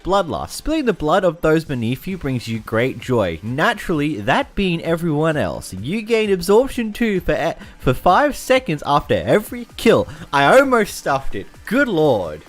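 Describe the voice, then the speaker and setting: Lively Australian man narrating gameplay. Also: on the high side, normal speed, few pauses, soft background music. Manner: chatty, self-mocking, stumbles over reading. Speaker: gaming YouTuber. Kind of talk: casual game commentary.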